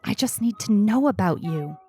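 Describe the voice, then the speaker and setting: A woman's voice: medium pitch, lively intonation, normal speed, a little mellow, soft background music, pitched above the performer's own voice, natural voice. Woman as anxious, earnest schoolgirl, pleading. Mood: urgent. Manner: pleading, whispery at times.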